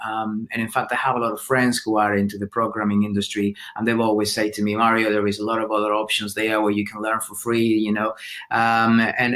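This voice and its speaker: Guy in an interview, kinda low voice, lots of um and starting over. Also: a bit bright. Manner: hesitant, many fillers and restarts. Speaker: student being interviewed. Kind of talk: casual interview answers.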